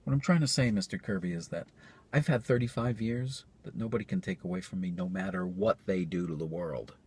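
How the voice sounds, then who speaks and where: A man's voice: low-pitched, a little fast, darkish tone, husky. A man performing a stage monologue as an earnest character reasoning persuasively with someone. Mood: earnest persuasion.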